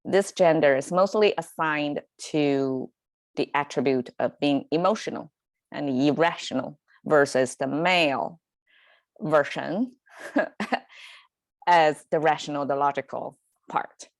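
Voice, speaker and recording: A woman's voice: deep voice, slow, no echo. A woman whose first language is Chinese, hosting a casual online lesson on Chinese words in a chatty, conversational way. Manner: chatty and conversational, with fillers and small laughs. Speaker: language teacher and host. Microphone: video call setup.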